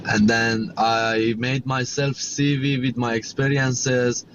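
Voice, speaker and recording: Man, Persian accent, native Persian speaker, halting repetitive English, muffled audio, noise behind. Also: medium pitch, level tone. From Iran. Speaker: guest, chef and cafe owner. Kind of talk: interview storytelling.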